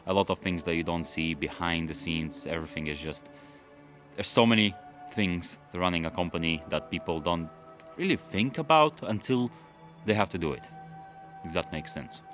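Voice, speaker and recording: Vlogger, male, from London, Russian his first language; deep, easygoing, meandering Q&A talk. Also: moderate pace, faint music behind. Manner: relaxed, rambling, with frequent fillers and restarts. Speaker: automotive YouTuber. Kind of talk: casual Q&A chat to camera.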